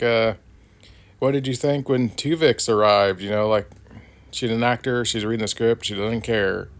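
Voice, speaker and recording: A man's voice: fairly low pitch, clean tone. Chatty man narrating his con trip. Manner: chatty, rambling with fillers. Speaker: vlogger. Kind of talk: casual vlog narration.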